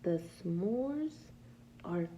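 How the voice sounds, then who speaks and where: A woman reading a picture book aloud as a preschool teacher, pausing to ask small children simple questions and patiently explaining the answers. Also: mid-range voice, lo-fi sound, slight background noise. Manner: animated, asks simple questions and answers them herself.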